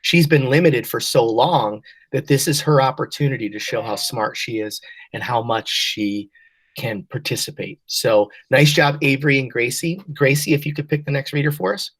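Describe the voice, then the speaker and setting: A woman's voice: a bass voice, normal speed, slightly rough. A woman teacher leading an online class, speaking patiently and encouragingly as she prompts and praises her pupils. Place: online class video call. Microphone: video call.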